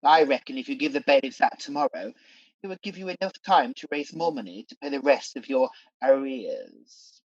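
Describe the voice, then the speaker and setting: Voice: medium pitch, animated, normal speed, a little mellow, no room sound. An actor playing a scheming pantomime demon king in a radio play, sly, theatrical and coaxing, on a dull recording.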